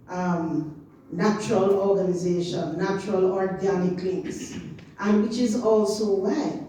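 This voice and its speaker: A woman's voice: mid-range voice, mellow, a little hiss or hum. Jamaican woman speaking in an echoey hall. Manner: impassioned, rhythmic emphasis, rising to chant-like slogans. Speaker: regional organization official speaker. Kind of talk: public talk at a community meeting. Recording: echoey hall, distant mic, faint ambience.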